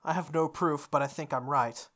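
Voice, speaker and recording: A young man reading a visual novel aloud as a let's play host, breaking into casual animated commentary. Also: fairly high pitch, normal speed.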